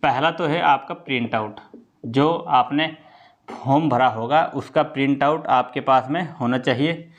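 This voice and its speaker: A man's voice: mid-range voice, measured pace, lo-fi sound. A man talks to students in a mid-range voice. He explains in an informal, instructive way, like a coaching presenter. He repeats key points and often checks in with his listeners.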